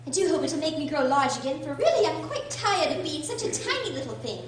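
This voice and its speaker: A woman playing a curious, polite young girl in a radio drama, earnest and expressive. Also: sits high, a little fast, a little hiss or hum. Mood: curious, fretful. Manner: earnest, expressive, talking to herself. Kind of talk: theatrical radio drama acting. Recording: echoey room from far off.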